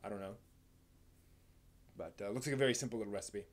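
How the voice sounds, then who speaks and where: A man hosting a live stream, talking casually off the cuff to viewers, often rephrasing as he thinks aloud. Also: lowish voice. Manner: halting, thinking aloud, rephrasing mid-sentence.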